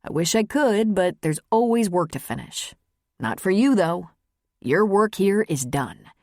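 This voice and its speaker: A woman's voice: mid-range voice, animated, a little slow, darkish tone, no room sound, clean studio sound. A woman voicing a wry, encouraging adult male lawyer in an audiobook. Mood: kindly, encouraging.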